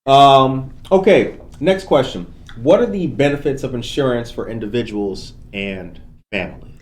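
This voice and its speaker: Halting male podcast host. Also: mid-range voice, expressive. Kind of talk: local news reading and casual interview.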